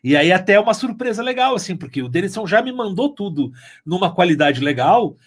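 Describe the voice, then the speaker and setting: A man's voice: high voice, a little fast. A man with a southern Brazilian accent, talking casually in an interview and telling things like a storyteller who acts out remarks. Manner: animated storyteller, acting out quoted remarks. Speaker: sound engineer and mixer on an audio drama.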